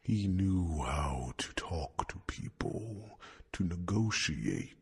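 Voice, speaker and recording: An ancient, gentle dragon counsels in an ASMR fantasy roleplay. The voice is extraordinarily low and crackles with heavy fry. It moves very slowly and deliberately, pausing on thoughtful hums. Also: male voice, expressive, full character voice. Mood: grave, counselling. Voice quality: gravelly, breathy, rumbling whisper. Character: old wise dragon, gentle male royal advisor to a young dragon.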